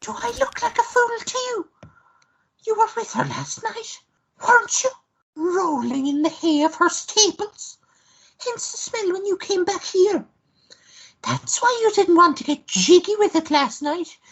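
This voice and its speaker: Woman acting a high-pitched, jealous woman. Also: muffled recording, full character voice. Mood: furious, accusing. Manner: indignant, melodramatic. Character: jealous, sharp-tongued woman scolding her unfaithful lover. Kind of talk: radio play acting.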